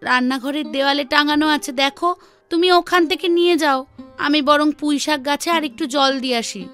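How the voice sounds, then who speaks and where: Casting: cartoon village woman, high-pitched, piercingly bright, emphatic and expressive. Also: a little fast, soft background music. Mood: plain, concerned. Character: village women: maid, mistress, wife, daughter. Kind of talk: Bengali children's cartoon voice acting.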